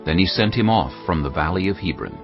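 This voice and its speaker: Deep-voiced, expressive male scripture narrator. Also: brisk. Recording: dull audio over background music.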